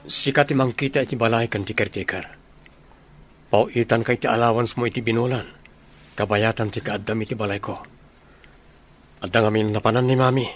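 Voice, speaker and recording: A man acts out a confident young soldier in an Ilocano radio comedy drama. He speaks in a medium-pitched voice with a teasing manner. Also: steady tone, normal speed, long pauses, fairly bright tone, natural voice. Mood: businesslike.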